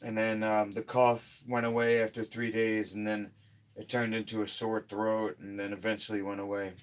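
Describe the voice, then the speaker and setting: A hoarse man talking casually in a slow, rambling health vlog, often trailing off quietly. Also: lowish voice, completely flat delivery, a little hiss or hum, low-quality recording. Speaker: health vlogger.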